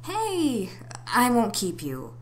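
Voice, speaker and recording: A spirited young cartoon echidna girl, acted by a woman in an amateur audition, babysitting as a guardian. She sounds perky and emphatic, bossy but doting. There is clear noise on the home recording. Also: on the high side, huge pitch swings, takes it slowly, pitched above the performer's own voice, exaggerated voice. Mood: cheerful.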